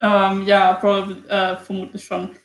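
A woman's voice: medium pitch, monotone, moderate pace, faint music behind. A woman playing a tabletop role-playing game, chatting casually as she describes her character's moves and slipping into English phrases. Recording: echoey, roomy sound.

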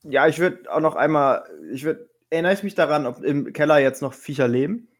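A man's voice: fairly high pitch, fairly quick. A man, speaking casually as a player in a tabletop role-playing game.

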